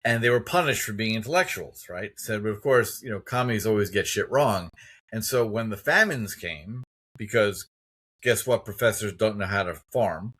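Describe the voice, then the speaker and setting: A man's voice: fairly low pitch, expressive, moderate pace, fairly bright tone, husky. Emphatic, opinionated male podcast host. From United States. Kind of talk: conversational interview.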